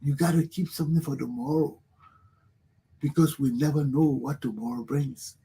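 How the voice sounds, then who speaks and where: A man's voice: fairly high pitch, fairly even intonation, normal speed, dark tone, breathy edge. A middle-aged man from Liberia with a Liberian accent, hosting a talk show and giving an emphatic, preachy motivational monologue that repeats its points.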